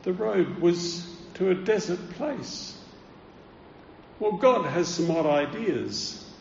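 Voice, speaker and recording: Male preacher, earnest and deliberate, slightly high-pitched, gravelly fry, echoing church hall. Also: expressive, slight background noise. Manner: earnest, deliberate teaching with emphatic stresses. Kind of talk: sermon. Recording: roomy, dull church hall recording.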